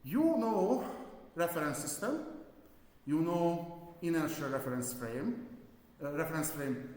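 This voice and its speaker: A Hungarian man with a Hungarian accent lecturing physics in English to university students, halting with many fillers and restarts and slipping in dry jokes. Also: a little high, lively intonation, darkish tone. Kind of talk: live classroom lecture. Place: large lecture hall. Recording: echoey lecture hall with long reverb.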